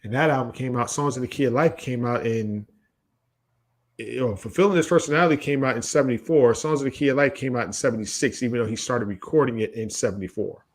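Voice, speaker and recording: A man's voice: mid-range voice, quick pace. A man in his late forties casually debating music as a podcast co-host, emphatic and opinionated, often repeating his points. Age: late 40s.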